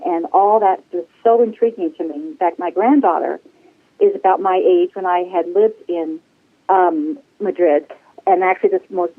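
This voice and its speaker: A woman in her sixties chatting casually as an interview guest, effusive and rambling, over a telephone. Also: on the low side. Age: 60s. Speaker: podcast guest, flight attendant. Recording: tinny phone-line sound.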